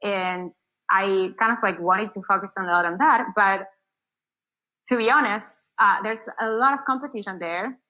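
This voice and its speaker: A woman's voice: mid-range voice, normal speed, muffled recording. Argentine woman rambling with fillers. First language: Spanish. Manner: rambling, many fillers and restarts. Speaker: marketing agency founder. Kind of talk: casual interview answers.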